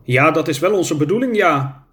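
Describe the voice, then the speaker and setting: A man's voice: fairly high pitch, animated, moderate pace, fairly bright tone, pitched above the performer's own voice. A man reading a storybook and voicing an elderly son worried about his mother. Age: older.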